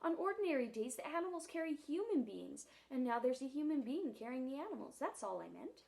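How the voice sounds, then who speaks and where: A polite, thoughtful young girl from a storybook, played by a woman reading aloud. She speaks carefully and apologetically. Her voice sits high and light. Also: very animated, fairly quick, creaky, warm tone, full character voice.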